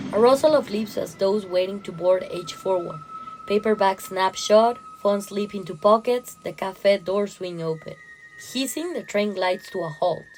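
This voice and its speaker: A woman narrating a first-person literary story in an expressive, dramatic way. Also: mid-range voice, slight background noise, low-quality recording.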